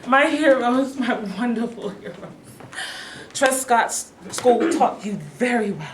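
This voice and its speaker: A woman's voice: a little high, a little slow, natural voice. A woman reading the part of a proud, dignified elderly mother in a staged play reading. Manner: dignified, bursts into angry outrage. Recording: echoey room, distant mic, background noise.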